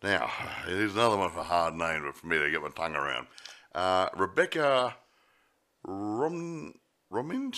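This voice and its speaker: A man co-hosting a casual film review chat, jokey and full of fillers, his voice a little croaky. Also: low voice, expressive, normal speed, bright, forceful tone. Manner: chatty, jokey, lots of yeah fillers. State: slightly croaky voice. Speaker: podcast co-host.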